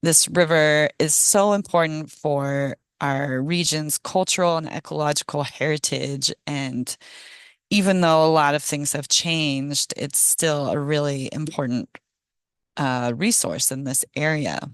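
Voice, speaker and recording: A middle-aged woman, hoarse from a cold, giving a casual presentation on a video call with many ums and small laughs. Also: deep voice, unhurried, dry recording, studio quality. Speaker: guest speaker, ecologist. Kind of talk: online meeting presentation.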